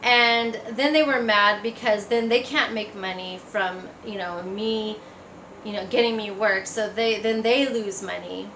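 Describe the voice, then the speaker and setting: A woman's voice: mid-range voice, steady tone, a little slow, fairly bright tone. A woman giving a personal testimony in a casual, conversational storytelling way. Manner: conversational, acts out remembered remarks. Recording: echoey room, faint background noise.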